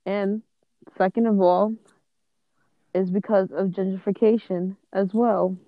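A woman's voice: mid-range voice, fairly even intonation, measured pace, dry recording, dull audio. A woman co-hosting a casual podcast chat, dry and teasing. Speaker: podcast co-host.

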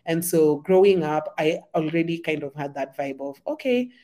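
A woman, a podcast guest telling her life story in a relaxed, rambling way, with a slight sore throat. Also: deep voice, normal speed. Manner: chatty, many "like" fillers, laughs often. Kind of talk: casual conversation.

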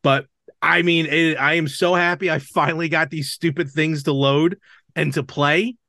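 A man's voice: on the high side, steady tone, normal speed, pushed and bright, dry recording, studio quality. A man hosting a podcast, chatting casually and enthusiastically about a hobby. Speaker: podcast host. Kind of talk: casual podcast chat about retro games.

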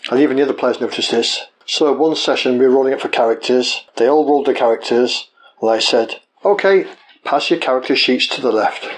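A man's voice: medium pitch, brisk, low-quality recording. A man leaving a podcast call-in message, telling an anecdote in a casual way. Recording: faint background noise, clicks.

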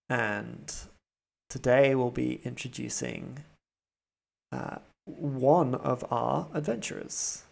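A man's voice: mid-range voice, creaky, a little mellow. A man hosting a role-playing podcast as game master, narrating the scene and slipping in dry, wry quips.

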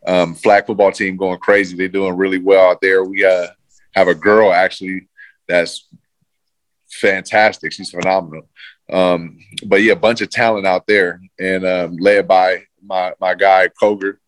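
A man's voice: deep voice, little pitch movement, measured pace, no echo, rough recording. A man in his thirties, a former pro football player, chatting casually as an interview guest with a loose, laid-back manner and lots of fillers.

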